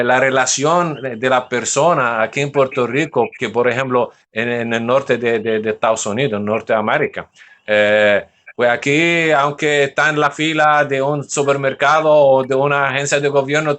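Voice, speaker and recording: A middle-aged man from Jordan, answering interview questions in a relaxed way with frequent fillers. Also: medium pitch. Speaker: guest. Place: mosque.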